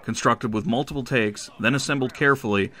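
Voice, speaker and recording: A man reading a scripted video essay as narrator and reviewer, in a measured, analytical manner. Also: mid-range voice, heavy background noise.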